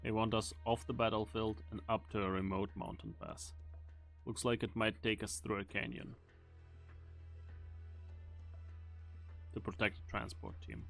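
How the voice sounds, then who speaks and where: A man playing a grave, calculating mercenary team leader with a measured, serious delivery in an amateur game dub. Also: fairly low pitch, lively intonation, creaky, fairly bright tone, faint music behind. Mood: serious, firm.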